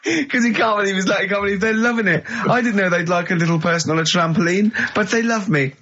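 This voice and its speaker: A man co-hosting a comic radio show, talking in an excitable, incredulous and mocking way. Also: high voice, fast, muffled recording, background noise.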